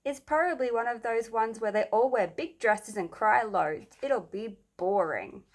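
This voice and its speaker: A woman's voice: a little high, unhurried. A woman voicing a blunt, sceptical schoolboy in a children's storybook, with a dismissive manner.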